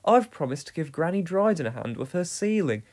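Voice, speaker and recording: A friendly village postman chats cheerfully, voiced by a woman reading a storybook. He sounds easygoing and good-humoured, with a low voice. His speech stays lively and bouncy. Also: fairly quick.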